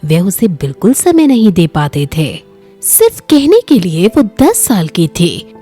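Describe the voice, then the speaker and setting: Female storyteller for children's tales: mellow, unhurried, expressive and dramatic narration. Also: medium pitch, slightly rough.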